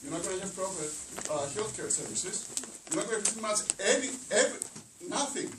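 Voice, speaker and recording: A man's voice: sits high, lively intonation, a little fast. A man from Greece with a Greek accent, speaking up as a resident at a public meeting, earnest and repeating himself in broken English. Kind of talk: impassioned public comment. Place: council meeting hall. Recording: distant, echoey, noisy hall.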